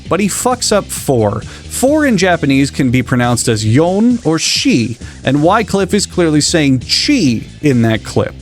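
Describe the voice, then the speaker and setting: An American man narrating comedic commentary in a sarcastic, mocking tone, stretching out words for effect. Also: on the high side. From United States. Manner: sarcastic, mocking, drawn-out stresses. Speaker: YouTube commentary host.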